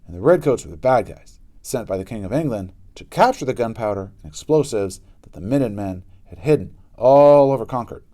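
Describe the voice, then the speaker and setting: A man narrating a children's book aloud in an expressive style with dramatic emphasis. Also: lowish voice. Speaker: storybook reader.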